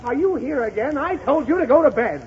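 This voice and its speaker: A man's voice: extremely high pitch, expressive, fairly quick, creaky, a little mellow, heavily acted. A man playing an exasperated, blustering father in a 1930s radio comedy. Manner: irritable, blustering. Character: exasperated, flustered father, a pompous lawyer. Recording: muffled, hissy 1930s radio recording.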